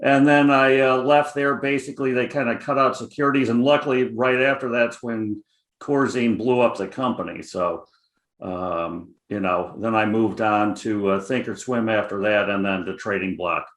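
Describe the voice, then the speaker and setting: A man's voice: on the low side, steady tone, normal speed, a bit bright, echoey room. A middle-aged man with a Chicago accent, a guest options trader talking casually, rambling with many fillers. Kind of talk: casual interview chat.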